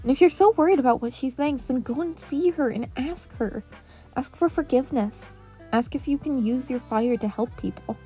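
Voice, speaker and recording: A woman's voice: sits high, moderate pace, mellow, pitched above the performer's own voice, natural voice. Teen boy counselor, female reader, fiercely caring, exasperated lecturing style, music underneath. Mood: gentle, urging. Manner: emphatic, sweary, lecturing.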